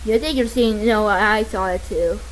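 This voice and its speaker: A woman's voice: medium pitch, moderate pace. A young woman in her teens giving casual gameplay reactions and reading along at home. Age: teens, high-school student. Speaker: gameplay commentator. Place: at a desk at home. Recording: noisy home recording, dog barking. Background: dog barking, game audio.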